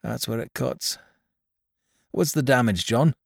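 A man's voice: medium pitch, unhurried, creaky, slightly rough, no room sound, studio quality, voice pushed up. A man voicing a fussy, anxious little old toymaker in a read-aloud story. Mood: anxious eager. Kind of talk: storybook character voice.

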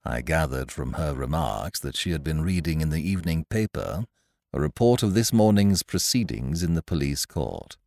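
A man voicing an unflappable male valet who speaks quietly, formally and deferentially. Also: rumbling low, animated, normal speed, creaky, no echo, clean studio sound, pitched below the performer's own voice, heavily acted. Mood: calm.

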